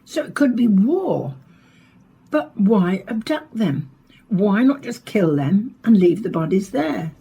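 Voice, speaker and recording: An inquisitive presenter of a science programme in a radio play. Her mid-pitched voice is a little husky, and she speaks briskly with a composed, probing broadcast manner. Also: big pitch swings, dark tone, exaggerated voice.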